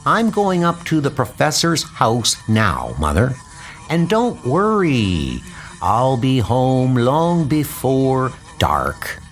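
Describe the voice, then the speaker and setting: A man's voice: medium pitch, wildly swooping pitch, slow, faint music behind. A man voicing an earnest, polite schoolboy insect collector in a comic book dub, speaking precisely. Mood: eager, reassuring.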